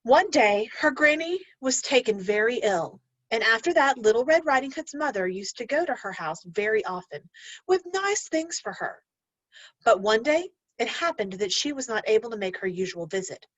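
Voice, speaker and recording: A woman's voice: fairly high pitch, very animated, moderate pace, dry recording, muffled recording. A woman teacher reading a storybook aloud to young learners, speaking expressively and instructively and addressing the children directly.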